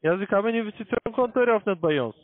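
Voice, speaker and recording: Man, very high pitch, non-native German, blunt, broken grammar, tinny phone line. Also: lively intonation, racing. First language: not German. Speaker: call-centre account manager. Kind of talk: sales phone call.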